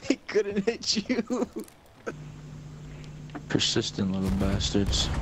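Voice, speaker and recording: A man's voice: high-pitched, wildly swooping pitch, slow, noisy background. A man chatting casually and profanely while gaming, full of slangy trash talk. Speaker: gamer. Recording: game audio with loud music behind. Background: loud music and game sound.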